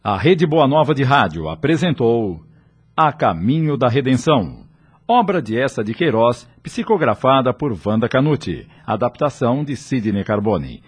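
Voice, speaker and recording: A man's voice: medium pitch, sing-song, moderate pace, husky. A Brazilian man narrating a radio drama as announcer, in a formal, theatrical scripted delivery.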